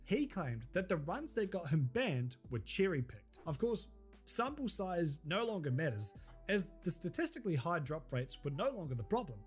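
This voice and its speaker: Man, fairly high, nasal from a cold, wry scripted narrator, faint music under him. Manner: wry, deadpan humor. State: stuffy head cold. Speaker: video essay narrator.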